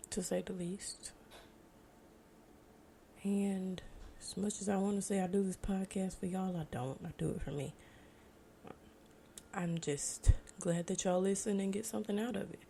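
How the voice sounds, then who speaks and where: A woman's voice: mid-range voice, mellow. An American woman in her twenties talking informally and reflectively in a casual solo podcast monologue. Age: 20s. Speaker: podcast host. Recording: noticeable background noise.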